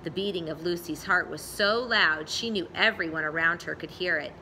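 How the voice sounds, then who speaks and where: A woman storyteller reading a children's story aloud in an expressive narrating style. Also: on the low side, normal speed, a little hiss or hum, rough recording.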